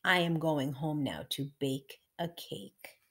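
A woman's voice: deep voice, slow pace, voice pushed down. A childlike male storybook toad, played by a woman reading aloud. He is a doubting friend who questions and protests in simple, plain words. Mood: glum.

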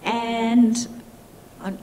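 A woman in her fifties moderating a conference Q&A with a handheld mic, chatty and warm, often restarting her sentences. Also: on the high side, little pitch movement, drawn-out words, a little hiss or hum, rough recording. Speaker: event moderator. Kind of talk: moderating a panel Q&A. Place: large conference hall with audience.

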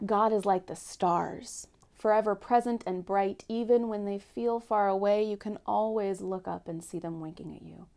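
A young woman giving a gentle Lent reflection at home and reading a picture book aloud, speaking softly and often near a whisper into a lapel mic. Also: mid-range voice, steady tone, normal speed, gravelly, few pauses. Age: young adult. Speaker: church speaker. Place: home living room, indoors.

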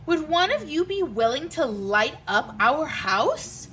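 A woman doing a gentle, polite hermit crab. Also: high-pitched, very animated, takes it slowly, a little hiss or hum, full character voice. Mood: earnest. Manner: soft, earnest, asking kindly.

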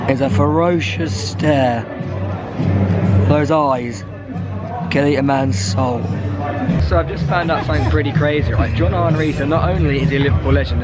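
A young man talks straight into a handheld camera outdoors, his voice hoarse and worn from a lost voice. He sits a touch high in pitch and keeps a chatty, excitable, jokey energy. Loud music plays behind him. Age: young adult. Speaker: football vlogger. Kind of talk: casual vlog to camera. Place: outdoors at a busy event venue. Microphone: handheld camera at arm's length.

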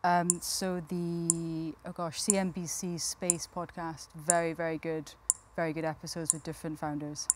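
A woman's voice: deep voice, level tone, moderate pace, few pauses, slight background noise. A woman in her thirties chatting casually as a guest co-host on a TV talk show. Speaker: guest co-host, company founder. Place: TV studio with sofas. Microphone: lapel mic.

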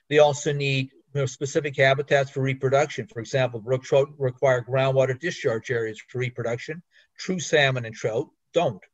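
Older male lecturer, middle pitch, rambling, muffled dry recording. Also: dry recording. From Canada. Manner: rambling, restarts and fillers, anecdotal. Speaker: fisheries biologist giving a talk. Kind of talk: slide presentation lecture. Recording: dull, slightly muffled recording.

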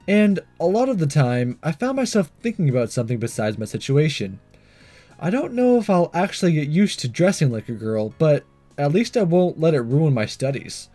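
Casting: man reading self-conscious teen schoolboy, earnest anime game inner monologue, slightly high and expressive, music behind. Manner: earnest, fretful. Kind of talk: reading visual novel aloud.

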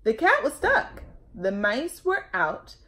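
A woman in her thirties reading a children's picture book aloud, deliberate and expressive, recorded at arm's length at home. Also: fairly high pitch. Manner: animated, deliberate, emphatic storytelling. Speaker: storytime host. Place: home living room and kitchen, indoors.

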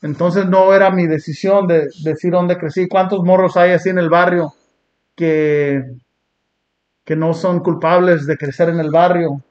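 A man from Long Beach, California, hosting a podcast and talking in an earnest, reflective way with blunt street slang. He sits on a small dark indoor studio set. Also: high-pitched, steady tone, a little slow. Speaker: podcast host. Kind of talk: casual reaction chat. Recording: muffled lo-fi sound.

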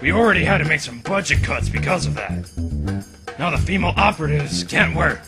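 A man playing a brash, hot-tempered, miniskirt-obsessed officer in a comedic anime parody dub, gravelly, swaggering and given to melodramatic, sweary exclamations. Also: fairly high pitch, big pitch swings, brisk. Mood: indignant. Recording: music behind the voice.

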